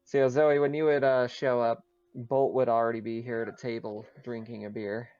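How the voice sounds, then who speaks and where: A man's voice: mid-range voice, flat delivery, moderate pace, darkish tone, smooth, no room sound, lo-fi sound. A man casually narrating a tabletop roleplaying game as game master.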